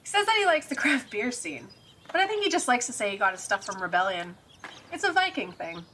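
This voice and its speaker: A woman playing a sassy, easily exasperated detective's sidekick in an audio drama, teasing and incredulous in a brisk way. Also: on the high side, big pitch swings, bright, forceful tone, slight background noise. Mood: casual, knowing.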